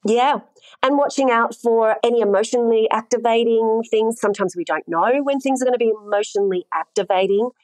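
A woman, an intimacy coordinator explaining her work in a measured, thoughtful way and often posing questions to herself. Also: fairly high pitch, dry recording, poor audio. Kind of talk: conversational interview answers.

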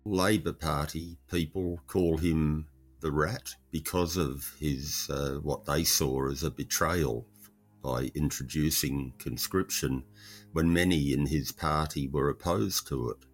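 A man, a historical researcher explaining in interview answers, measured and thoughtful with frequent pauses. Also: very deep voice, fairly even intonation, soft background music.

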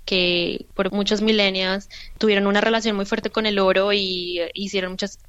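A woman's voice: mid-range voice, level tone, normal speed, a bit bright, smooth, no room sound, lo-fi sound. A woman from Colombia, a musician and artisan answering interview questions thoughtfully, often repeating words as she searches for them.

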